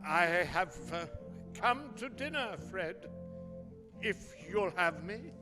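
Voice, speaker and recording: A reformed old miser played by a man speaks very high and unhurried, giddy with generosity. The phrasing is formal and exclamatory, in radio play style. A long reverb surrounds the voice, with music clearly behind it. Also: animated. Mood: sheepish. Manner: exclamatory, effusive, formal old-fashioned speech. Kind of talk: stage-like radio play acting.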